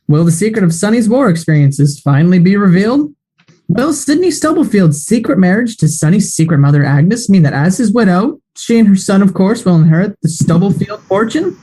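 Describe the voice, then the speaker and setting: A mellow, dark-toned radio announcer voiced by an actor narrates the serial with melodramatic flair. He builds cliffhanger questions and signs off in the old broadcast manner. The pitch sits in a middle register. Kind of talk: scripted narration.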